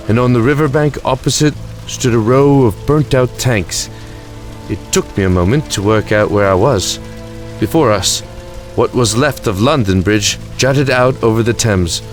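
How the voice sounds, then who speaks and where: Audio drama lead: composed, wry male ruler and narrator, played by a man, medium pitch, measured delivery. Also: lightly acted. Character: composed, wry male time-travelling lord recalling his past.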